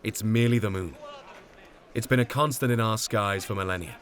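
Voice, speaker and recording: A man's voice: lowish voice, brisk, a bit bright, lightly acted. An actor playing a stern, authoritative male mission commander in an audio drama. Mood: stern, resigned.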